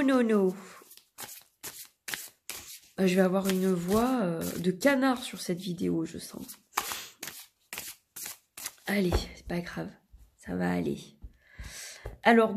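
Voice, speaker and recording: A woman with a head cold chats her way through a tarot reading, nasal and congested. Her hoarse voice is gravelly and full of vocal fry, with a husky, rough edge. She rambles along in a relaxed way and often trails off into fillers. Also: mid-range voice. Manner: rambling, relaxed, many fillers and self-corrections. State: stuffy nose from a cold; hoarse with a sore throat. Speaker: tarot card reader. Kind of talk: casual chatty tarot reading to camera.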